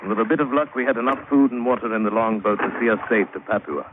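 A man's voice: medium pitch, quick pace, narrow, tinny audio, background noise, lightly acted. An actor playing an old English seaman with a British accent, telling his haunted adventure in colloquial sailor talk in a 1940s radio drama. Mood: haunted reminiscing. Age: older. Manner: colloquial storytelling with sailor slang.

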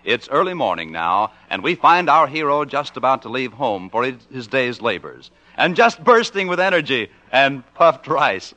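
Animated 1950s male radio announcer. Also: mid-range voice, moderate pace, few pauses. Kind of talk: 1950s radio comedy announcing, scripted narration. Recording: dull old radio recording. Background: music.

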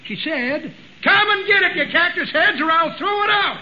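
A man's voice: very high voice, big pitch swings, normal speed, sharp tone, slight background noise, heavily acted. A man playing a folksy old male tall-tale teller in a 1940s radio comedy, drawling and chuckling, on a dull old radio recording. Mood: folksy yarning. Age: older.

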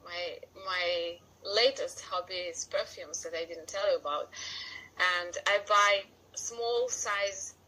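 Bright-voiced Russian woman in her forties. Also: on the low side, slow pace, poor audio. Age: 40s. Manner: chatty, searches for words, laughs often. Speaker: guest. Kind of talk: casual podcast chat.

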